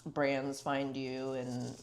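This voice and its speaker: Low-voiced young woman hosting casually. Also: little pitch movement, extremely slow. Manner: candid, swears freely, heavy filler words. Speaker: podcast host. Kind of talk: casual podcast chat.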